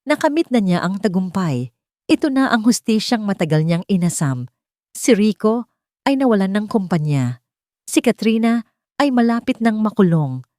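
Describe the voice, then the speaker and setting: A woman with a Filipino accent narrating a scripted love drama in a measured, dramatic way. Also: mid-range voice, expressive, a little mellow, no echo, studio quality. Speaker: storyteller.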